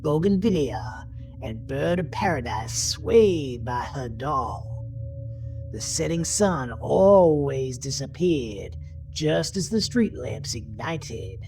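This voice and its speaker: A man reading a scripted horror story as narrator, in a brooding, deliberate, dramatic manner. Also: mid-range voice, big pitch swings, creaky, a little mellow, faint music behind.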